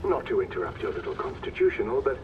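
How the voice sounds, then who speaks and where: Muffled radio-voiced knight commander. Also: male voice, lowish voice, sing-song, fast talker, distant mic. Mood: measured, dry. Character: calm, dryly witty veteran knight commander. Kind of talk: video game voice acting, radio briefing. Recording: muffled radio link, clear background noise.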